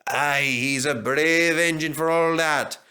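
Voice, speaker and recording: A man's voice: a little high, fairly even intonation, slow, pushed and bright, clear, resonant tone. Man doing a blunt, grumbling engine. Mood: admiring. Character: grumbling, blunt, fierce-hearted male Scottish steam engine. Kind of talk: children's story character voice.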